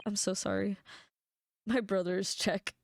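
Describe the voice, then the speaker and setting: A woman's voice: medium pitch, flat delivery, a little slow, no room sound, studio quality. A woman streamer giving casual, joking commentary while playing a game, swearing freely. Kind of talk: casual let's-play commentary. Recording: game music behind.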